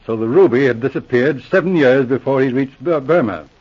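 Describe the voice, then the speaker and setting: An actor playing a patient, methodical Mountie sergeant who teases dryly, in 1940s radio acting. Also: male voice, medium pitch, a little fast, slightly rough, lightly acted. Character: methodical, dry-witted male Mountie sergeant. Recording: dull lo-fi old radio broadcast.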